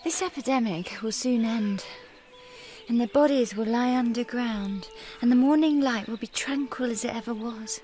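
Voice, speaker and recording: An actress playing a grieving young widow in a radio drama, solemn and contemplative. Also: female voice, on the high side, normal speed, mellow, faint music behind, lightly acted. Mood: sombre, wondering.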